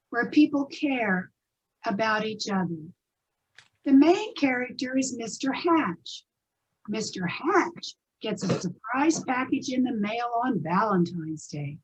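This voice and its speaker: A woman's voice: fairly high pitch, slight background noise. A woman presenter giving scripted introductions to stories. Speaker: presenter introducing readers theatre pieces. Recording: dull lo-fi recording.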